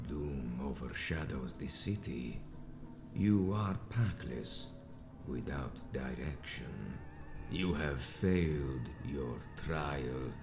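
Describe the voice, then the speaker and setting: An actor playing a grandiose, cold and imperious vampire leader in a video game, speaking in a pompous, ornate way. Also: male voice, rumbling low, expressive, vocal fry, roomy sound, over music, full character voice. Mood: grandiose, commanding. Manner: pompous, ornate, deliberate delivery. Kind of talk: theatrical video game villain acting.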